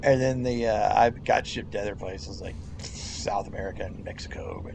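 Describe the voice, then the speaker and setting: A man's voice: fairly low pitch, normal speed, vocal fry, a little mellow, slight background noise. A middle-aged man chatting casually over game voice chat, rambling, laughing often and swearing freely. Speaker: gamer in voice chat.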